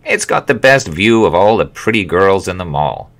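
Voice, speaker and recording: A man's voice: sits low, sing-song, normal speed, a bit bright, full character voice. A man voicing a kind, good-natured grandfatherly old restaurant regular in an audiobook memoir. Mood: pleased, musing. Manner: good-natured, chuckling, leisurely.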